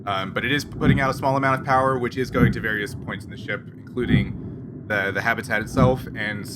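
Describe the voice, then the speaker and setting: Halting male game master over loud noise. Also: mid-range voice, fairly even intonation. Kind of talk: improvised tabletop game narration. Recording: noisy, loud background hum.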